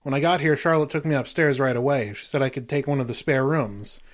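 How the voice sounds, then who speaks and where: Actor as a polite, easygoing young suitor. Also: male voice, mid-range voice, a little fast, close to an everyday voice. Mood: explaining. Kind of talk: audio drama acting.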